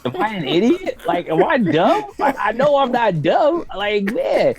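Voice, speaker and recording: A man co-hosting a casual gaming chat, expressive and slangy, often acting out quotes as he talks. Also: very high-pitched, unhurried, a crowd in the background. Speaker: co-host of a gaming talk show.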